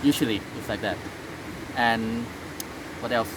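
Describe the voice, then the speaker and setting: A man from Thailand with a Thai accent, speaking English as a second language in a casual interview, halting with many fillers and restarts. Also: mid-range voice, background noise.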